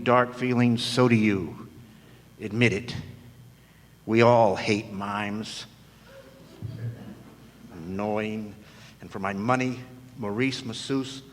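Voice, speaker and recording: Male actor as a hardboiled radio detective: cynical, world-weary, deadpan narration, recorded in a reverberant hall. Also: medium pitch. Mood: sardonic. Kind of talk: 1950s noir radio drama narration. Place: large hall, live stage performance. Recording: echoey hall, stage performance.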